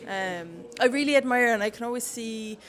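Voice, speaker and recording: A woman's voice: on the high side, normal speed. A young woman with a Cork Irish accent, a council worker as the guest in a casual interview, chatty and laughing often. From Ireland. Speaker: city council staff guest.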